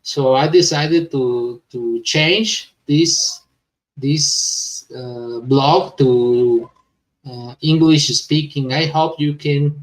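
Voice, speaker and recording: Male news vlogger, native Spanish speaker with accent, slightly high voice, unhurried and stumbling delivery, set back from the mic. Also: far from the mic, low-quality recording. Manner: halting, stumbling non-native English. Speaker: host of a crypto news vlog. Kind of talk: reading news with informal commentary.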